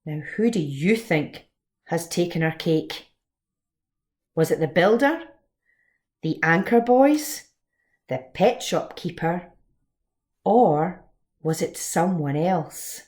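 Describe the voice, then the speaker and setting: A woman's voice: fairly low pitch, lively intonation, slow pace, long pauses, darkish tone. A woman reading a children's story and explaining it expressively in simple terms, like a teacher. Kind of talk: children's story read-along and lesson.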